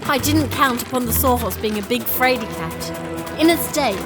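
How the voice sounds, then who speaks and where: An actress plays a determined young royal in a fantasy audio drama. Her voice sits fairly high and she speaks emphatically and stubbornly, with clear music behind. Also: moderate pace, close to an everyday voice. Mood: dramatic narrating. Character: determined young princess ruler, stubborn and impatient. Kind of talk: full-cast audio drama acting and narration.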